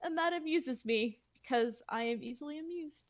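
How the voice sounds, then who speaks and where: A woman's voice: high voice, moderate pace, a little hiss or hum. A woman who writes the show, chatting casually in a Q&A with many fillers and restarts. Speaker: podcast writer. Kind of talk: casual Q&A chat.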